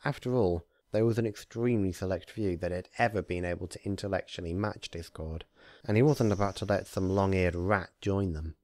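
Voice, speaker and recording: A man reading a story aloud as narrator, in an expressive scripted storytelling style. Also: sits low, brisk, no room sound, clean studio sound. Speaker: narrator, fan fiction reader.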